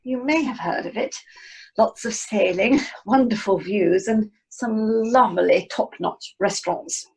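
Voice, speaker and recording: A woman's voice: mid-range voice, unhurried, vocal fry, breathy edge, poor audio. A woman playing a posh, snobbish English wife, genteel and boastful, in an amateur radio comedy. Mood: genteel, proud. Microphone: video call.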